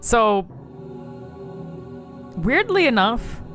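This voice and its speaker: A woman's voice: a little high, big pitch swings, extremely slow, music in the background. A woman giving casual game commentary, rambling and thinking aloud as she explores cutscenes.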